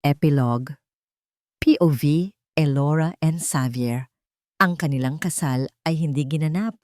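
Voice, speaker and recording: A woman with a fairly low voice narrates a love story as a storyteller. Her reading is scripted and dramatic, and the sound is dry with no room echo. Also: measured pace, a little mellow.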